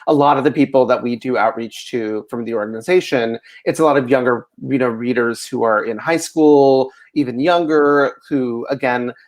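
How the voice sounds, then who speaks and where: A man's voice: mid-range voice, fairly even intonation, normal speed, hardly any pauses. An American man conducting a casual interview, asking questions in a rambling, hedging way with lots of "like" and "you know.". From rural Ohio, United States.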